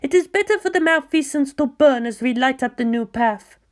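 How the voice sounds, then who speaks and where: A woman voicing a devoted, bitter young female follower in a scripted story, with measured, disdainful delivery. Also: sits high, expressive. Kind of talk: scripted audio drama reading.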